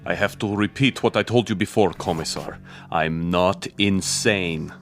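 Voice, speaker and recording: An actor playing a weary, defiant major, a hunted defector in a radio drama, with a terse, wary, sardonic manner. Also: male voice, low voice, unhurried, faint music behind.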